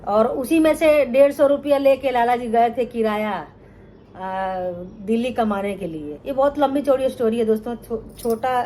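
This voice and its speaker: A woman's voice: a little high, measured pace, dark tone, slight background noise, low-quality recording. A middle-aged woman from Bihar, telling her life story to viewers in a chatty vlog and often calling them friends. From Bihar, India. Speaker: cooking channel host.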